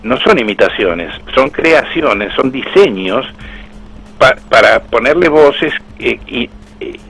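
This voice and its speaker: Hombre mayor de voz ronca, acento rioplatense. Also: male voice, mid-range voice, expressive, takes it slowly, creaky, fairly bright tone, breathy edge, soft background music, rough recording. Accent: Argentine Spanish. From Argentina. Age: older. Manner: storytelling, proud, with restarts. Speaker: voice actor interviewed. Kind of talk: anecdotal interview talk.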